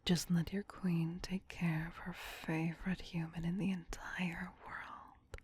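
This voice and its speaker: A woman playing a tender, possessive vampire queen in an ASMR roleplay, in a breathy whisper with a soothing, intimate, doting manner. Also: on the low side, level tone, unhurried, a little mellow, a little hiss or hum, natural voice.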